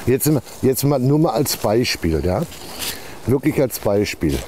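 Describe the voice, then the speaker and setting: Male field commentator, conversational and explanatory, relaxed mellow tone, slight outdoor noise. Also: mid-range voice, a little slow. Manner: explanatory, repeats himself, often seeks confirmation. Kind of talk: casual on-location commentary in conversation. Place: outdoors in woodland on soft ground.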